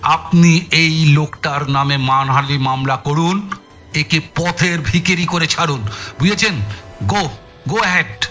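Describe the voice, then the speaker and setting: A man acting a hot-tempered, forceful police detective in an audio story, agitated and firing off repeated commands. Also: a little high, fairly even intonation, slow pace, dull audio, faint music behind. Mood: agitated, goading.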